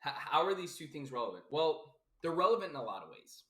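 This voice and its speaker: A man's voice: on the high side, big pitch swings, quick pace, a bit bright. A man from South Florida, a life coach, giving a casual, emphatic philosophical monologue full of repetition, fillers and the odd swear word. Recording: roomy echo.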